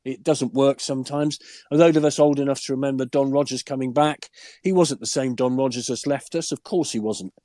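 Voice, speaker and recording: An older man, hoarse and deep-voiced from a cold, chatting enthusiastically as a guest pundit and asking rhetorical questions. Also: fairly even intonation, fairly quick, warm tone, no echo, clean studio sound. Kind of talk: casual podcast chat.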